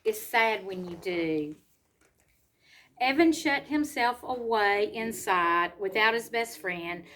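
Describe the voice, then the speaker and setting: A woman reads a storybook aloud to children, pausing to explain simply and ask them questions. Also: mid-range voice, expressive, a bit bright. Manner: simple explanatory asides and questions to young listeners.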